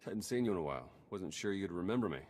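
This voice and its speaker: Easygoing male game operative. Low, husky voice, dry and understated, a little weary. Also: expressive, quick pace, darkish tone. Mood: wry, warm. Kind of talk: scripted game character dialogue.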